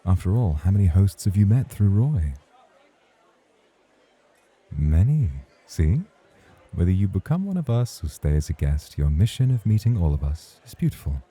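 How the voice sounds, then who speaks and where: A man's voice: sits low, big pitch swings, moderate pace, warm tone, husky, a faint crowd, heavily acted. A man acting in an ASMR roleplay as a suave, teasing club host, formal and flirtatious in his way of talking. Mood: smug, persuasive.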